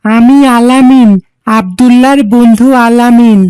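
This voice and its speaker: A woman's voice: on the high side, fairly even intonation, a little slow, warm tone, clear, resonant tone, no room sound, lightly acted. A woman voicing a polite, considerate schoolboy friend in an Islamic cartoon, courteous and modest.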